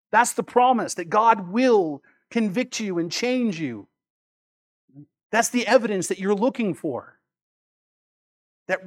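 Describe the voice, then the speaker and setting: A high-voiced man preaches as a pastor would from scripture. His delivery is emphatic, dropping quieter for asides and rising on stressed words. He repeats key phrases to drive them home. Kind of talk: sermon with scripture readings.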